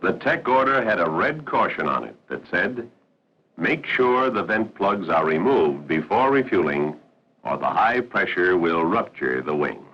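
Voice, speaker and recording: A man with a very deep, rough-edged voice narrates a 1960s military training film in a formal, instructive way. His intonation moves widely, and the old soundtrack sounds muffled. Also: big pitch swings. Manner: authoritative, measured, instructive.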